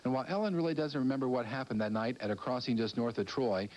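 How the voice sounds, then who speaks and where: A man's voice: medium pitch, quick pace, a loud crowd. A man narrating a scripted public affairs television news segment, recorded on a noisy old VHS tape.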